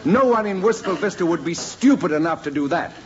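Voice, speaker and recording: A man's voice: high-pitched, lively intonation, fairly quick. A man playing a pompous, greedy department store floor manager who talks with plummy self-importance in a 1950s radio sitcom. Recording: muffled old radio recording, faint hiss.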